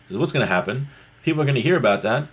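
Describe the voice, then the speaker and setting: A man's voice: mid-range voice, lively intonation, racing, husky. A man giving an informal religious lesson as a teacher, explaining a text conversationally and checking in with rhetorical questions.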